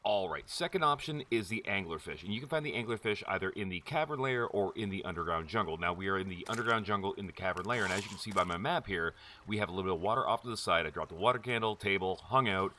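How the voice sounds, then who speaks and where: A man casually narrating a game tutorial, chatty and friendly, checking in with quick asides. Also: animated, bright, forceful tone, slight background noise. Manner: chatty, jumps high in pitch for quick check-ins. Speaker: gaming tutorial host.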